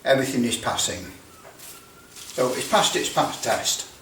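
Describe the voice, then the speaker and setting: A British man giving a casual hands-on demonstration, halting as he thinks aloud. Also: mid-range voice, very animated, fairly bright tone. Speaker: presenter demonstrating electrical testing. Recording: roomy, distant mic with background noise.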